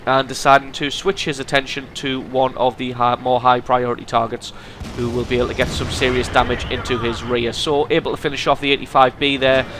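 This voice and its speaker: A man's voice: mid-range voice, fairly quick. Man, slight cold, gaming channel host, amused replay commentary, faint background hiss. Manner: lively, amused commentary with chuckles. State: has a cold.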